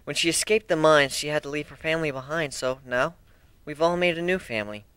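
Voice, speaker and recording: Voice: mid-range voice, brisk, fairly bright tone, clear, resonant tone, no echo, lightly acted. A performer voicing a friendly, protective female cat adventurer who is earnest and loyal. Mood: solemn. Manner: earnest, defensive.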